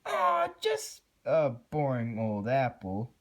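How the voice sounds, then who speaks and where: A woman's voice: rumbling low, huge pitch swings, slow, heavily acted. A woman voicing a deranged, eerily cheerful female cartoon pony with grief underneath, in manic, scattered speech for a horror story reading. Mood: scattered false cheer.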